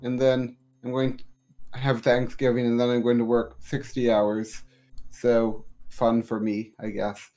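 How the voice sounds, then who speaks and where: A man's voice: medium pitch, unhurried, low-quality recording. A man co-hosting a casual podcast, goofy and playful, joking around. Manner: goofy, lively, playful jokes. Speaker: podcast co-host.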